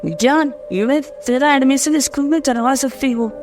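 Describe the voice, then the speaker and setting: A man's voice: extremely high pitch, normal speed, faint music behind, voice pushed up. A man voicing a spoiled, scheming rich schoolgirl in a bossy, entitled way for a cartoon story.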